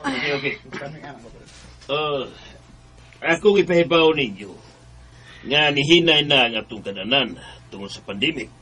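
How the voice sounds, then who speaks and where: A Bisaya Filipino boss addresses staff formally. His voice is fairly high with very varied intonation, businesslike and apologetic in radio drama style. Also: lively intonation, measured pace, pauses often, sharp tone, breathy edge, heavily acted. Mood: formal, regretful. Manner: formal, announcing.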